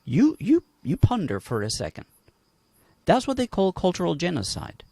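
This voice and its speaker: A man's voice: high voice, huge pitch swings, normal speed, gravelly, a little mellow, dry recording, clean studio sound. A man from Colombia speaking emphatically on a livestream panel, asking rhetorical questions. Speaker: panel guest.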